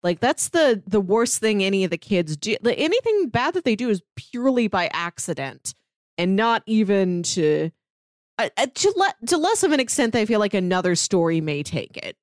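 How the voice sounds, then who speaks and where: Female podcast co-host, lively swooping intonation, close dry sound, informal storytelling with paraphrased dialogue. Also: medium pitch, moderate pace, no room sound. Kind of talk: casual comic-book discussion.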